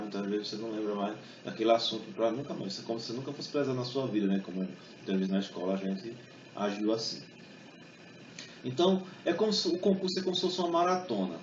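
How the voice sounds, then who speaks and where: A man's voice: mid-range voice, a little hiss or hum. Man speaking in an echoey hall. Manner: conversational, many fillers and tag questions. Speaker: speaker giving a talk. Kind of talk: public talk with exam study tips. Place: event hall. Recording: distant echoey hall mic, dull sound.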